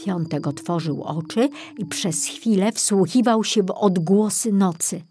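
A woman's voice: medium pitch, unhurried, warm tone, slightly rough, no room sound, studio quality. A woman reading scripted narration for a children's audiobook story.

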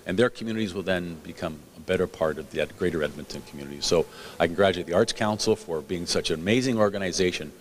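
A man's voice: sits low, quick pace, a little hiss or hum. A man giving remarks at an awards event, upbeat and praising, with small hesitations. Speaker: official speaker at an awards event. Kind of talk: public remarks.

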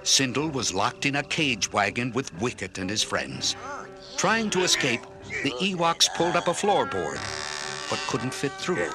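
A man narrates a children's storybook with dramatic flair. His mid-pitched voice moves animatedly over loud music. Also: very animated, unhurried.

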